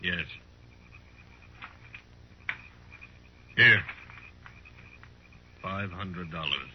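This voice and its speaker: An actor playing a stern, proud, bitter Cheyenne leader who speaks in slow, clipped sentences in an old-time radio western. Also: male voice, deep voice, husky, heavily acted. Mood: grave, terse. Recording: dull, noisy 1950s radio recording.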